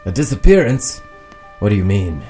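A man playing a melodramatic private detective in an improvised noir radio drama, with a hammy, halting delivery. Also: on the low side, sing-song, mellow, faint music behind. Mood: startled.

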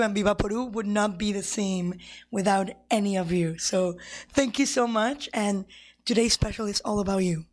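A young adult woman co-hosting a radio show, playful and quick to laugh, repeating phrases for emphasis. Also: mid-range voice. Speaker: radio co-host. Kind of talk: casual radio chat. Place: radio studio.

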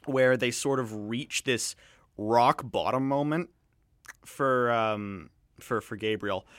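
A man hosting a radio show, chatting casually about films in a rambling, digressive way with halting restarts. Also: mid-range voice, fairly bright tone, dry recording, clean studio sound. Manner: rambling, digressive, halting with repeated false starts. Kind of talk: casual film review chat.

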